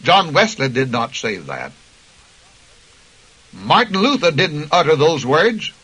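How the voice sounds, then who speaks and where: A man's voice: on the high side, big pitch swings, slow, pushed and bright, slightly rough, rough recording. A man preaching a radio sermon with impassioned, emphatic delivery, citing scripture chapter and verse.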